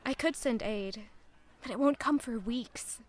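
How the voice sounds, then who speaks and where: A woman's voice: fairly high pitch, measured pace, lightly acted. A woman playing a thoughtful young royal who narrates her own story in an audio drama. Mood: reflective.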